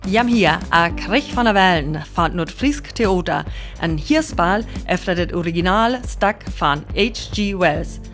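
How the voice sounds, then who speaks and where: A woman's voice: lowish voice, lively intonation, unhurried, fairly bright tone, faint music behind. A woman as an announcer with a North Frisian accent, reading scripted credits for a radio play. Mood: formal.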